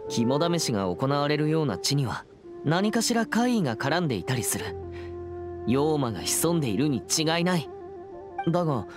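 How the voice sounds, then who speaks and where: A young man voicing a serious, earnest teenage boy exorcist in a Japanese anime game, with a formal, reserved and polite manner. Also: fairly high pitch. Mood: thoughtful. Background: background music.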